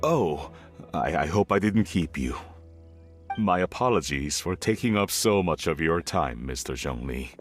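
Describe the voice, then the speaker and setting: A man's voice: rumbling low, lively intonation, a little slow, soft background music. A man playing a middle-aged tea merchant and father in a video game, speaking politely and formally. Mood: apologetic.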